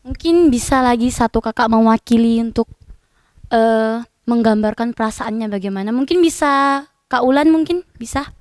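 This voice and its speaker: A woman's voice: on the high side, normal speed, darkish tone, dry recording, studio quality. A woman from Indonesia hosting a student podcast interview, asking questions politely with frequent hesitant fillers. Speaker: podcast host.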